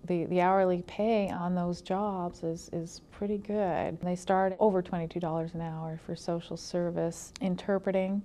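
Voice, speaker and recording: A woman college instructor explaining her field in an interview in a measured, deliberate way. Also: lowish voice, little pitch movement, warm tone.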